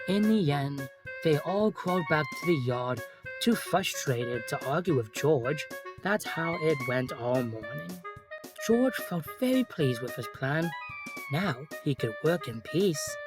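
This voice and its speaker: Storybook narrator over prominent music. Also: mid-range voice. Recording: music under the voice.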